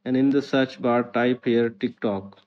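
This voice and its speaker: A man narrates a scripted how-to guide as the host of a tutorial channel. His mid-pitched voice stays very even, with little rise or fall from one instruction to the next. The recording is somewhat dull and lo-fi. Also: measured pace. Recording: muffled, dull lo-fi audio.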